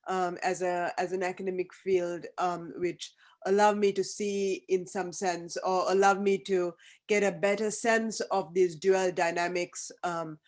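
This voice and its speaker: A person in their fifties from Sri Lanka, giving a reflective panel talk over a video call, halting and thoughtful with many fillers and restarts. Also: medium pitch, level tone. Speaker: academic panelist.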